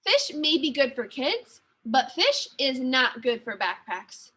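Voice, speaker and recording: A woman teacher reading a children's story aloud with expressive, deliberate narration. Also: sits high, slightly rough.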